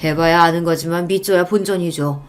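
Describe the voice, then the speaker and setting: A woman voicing a kindly veteran taxi driver in a radio story, calm, earnest and reassuring. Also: on the low side, a little fast, faint music behind, lightly acted. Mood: encouraging.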